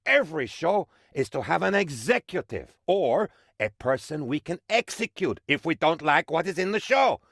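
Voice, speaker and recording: A man voicing an excitable, pompous and dim-witted sycophantic aide to a dictator in a video game, with theatrical, naively enthusiastic delivery. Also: fairly high pitch, moderate pace, few pauses, no echo, clean studio sound, heavily acted. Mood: self-important. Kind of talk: video game character voice lines.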